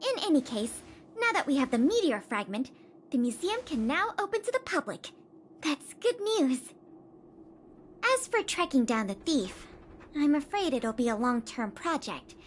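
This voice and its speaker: A woman's voice: high-pitched, very animated, normal speed, slight background noise. A woman playing an eager, studious young investigator in a video game, speaking in an earnest, articulate way. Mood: earnest, measured. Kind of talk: video game character dialogue. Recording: music behind the voice. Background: game background music.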